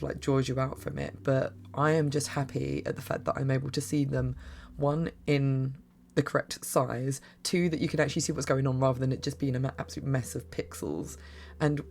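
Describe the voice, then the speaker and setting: A woman with a bad cough and a croaky, hoarse voice, giving a casual, rambling spoken review that often restarts mid-sentence. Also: extremely low pitch, darkish tone. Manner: rambling, gushing, many restarts. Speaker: game reviewer.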